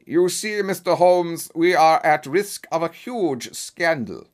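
A man voicing a huge, secretive masked nobleman in a storybook, with a German accent and a booming, pompous, formal delivery. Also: animated, slow. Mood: secretive, urgent. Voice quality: deep, booming.

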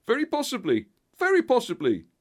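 A man's voice: high-pitched, big pitch swings, moderate pace, pitched above the performer's own voice. A man voicing a panicky, desperate company director in an audiobook story, speaking in a nervous, over-eager, pleading manner. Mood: nervously eager.

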